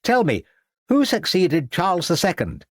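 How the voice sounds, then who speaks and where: A man's voice: high voice, huge pitch swings, moderate pace, dry recording, studio quality, pitched above the performer's own voice, exaggerated voice. A man putting on a stammering, pompous old headmaster. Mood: fussy. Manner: stammering, pompous, easily flustered. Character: fussy, flustered elderly male headmaster.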